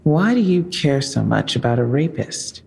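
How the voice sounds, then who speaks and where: A wary female police officer, with a husky voice full of fry. She is terse and defensive, pushing back on questions in an audio drama. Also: very deep voice, big pitch swings, moderate pace, darkish tone, long reverb tail, heavily acted. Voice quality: husky, creaky.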